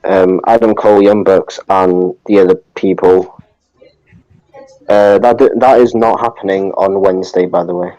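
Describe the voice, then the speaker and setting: A man's voice: low-pitched, fairly even intonation, normal speed, mellow, dry recording, lo-fi sound. A man co-hosting a podcast, chiming in with casual comments. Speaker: podcast co-host. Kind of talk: casual chat.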